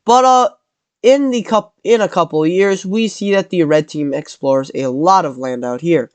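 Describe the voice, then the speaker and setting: A man's voice: high-pitched, moderate pace, clean tone, no echo. A man with a cold narrating a map scenario in casual commentary, rambling and correcting himself as he goes. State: has a cold.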